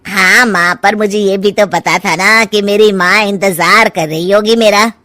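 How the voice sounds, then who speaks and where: A woman's voice: mid-range voice, fairly even intonation, unhurried, bright, forceful tone, dry recording. A woman voicing an insistent, self-centred grown son in a cartoon moral story. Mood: coaxing.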